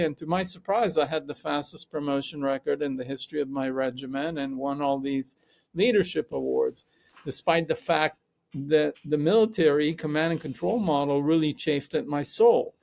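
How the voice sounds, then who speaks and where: A man's voice: a little high, gravelly, darkish tone. A middle-aged man, a podcast guest speaking in a thoughtful, searching way and often correcting himself mid-sentence. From Israel. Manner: thoughtful, rambling with self-corrections. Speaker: podcast guest, psychologist and coach. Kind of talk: reflective conversational interview.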